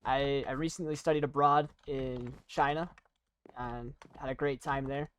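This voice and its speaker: A young adult chatting casually while playing and commentating on a video game, rambling in a halting, self-deprecating way. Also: medium pitch, fairly even intonation, a little hiss or hum. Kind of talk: casual gaming commentary and chat.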